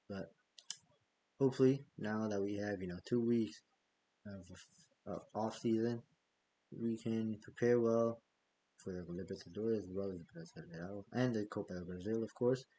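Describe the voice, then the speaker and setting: A man's voice: fairly low pitch, dark tone, slight background noise, rough recording. A man hosting a football fan vlog, chatting loosely with frequent fillers and self-corrections. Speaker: football fan channel host. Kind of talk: casual news vlog.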